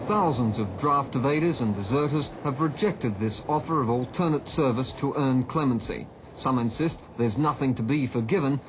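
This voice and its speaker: Male TV reporter over heavy noise. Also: medium pitch. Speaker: television news reporter. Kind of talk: scripted news report narration. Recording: very noisy 1970s field report.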